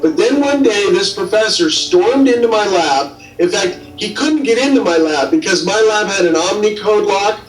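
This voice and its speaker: A man's voice: high-pitched, expressive, normal speed, hardly any pauses, over music. A male scientist recounting his own experience in an interview. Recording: echoey room, distant microphone.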